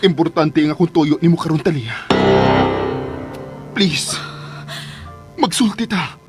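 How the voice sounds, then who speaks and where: An actor playing an earnest, insistent male doctor in a radio drama, pleading in a measured, urgent way. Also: medium pitch, animated, loud music behind the voice, lightly acted.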